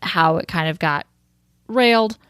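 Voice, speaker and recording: A woman co-hosting a podcast in casual chat, talkative and quick to laugh, with blunt swearing. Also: lowish voice, fairly bright tone, smooth, no room sound, clean studio sound. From Michigan, US. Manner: chatty, swearing, laughs often. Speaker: podcast co-host.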